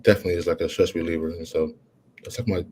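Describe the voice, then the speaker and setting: A young man with a deep, low voice talks in a halting, casual way, leaning on "you know" at nearly every turn. Faint ambient noise hums behind him. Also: fairly even intonation, a little mellow. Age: young adult. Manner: halting, heavy use of "you know" fillers. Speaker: college football player. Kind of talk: casual interview answers.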